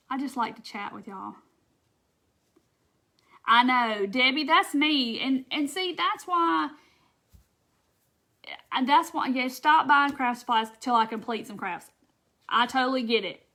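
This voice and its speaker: A woman's voice: sits high, takes it slowly, long pauses, bright, forceful tone. A woman with a Southern US accent hosting a casual craft live stream, chatting folksily with viewers and reading their comments by name.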